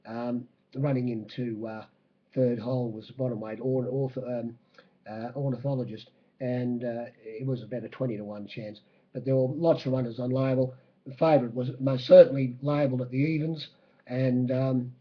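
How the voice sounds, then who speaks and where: An Australian man with a croaky voice giving a horse racing recap as a bookmaker, speaking in a halting, matter-of-fact way. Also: medium pitch, lo-fi sound. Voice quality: croaky, husky. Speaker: racing bookmaker giving a race-day report.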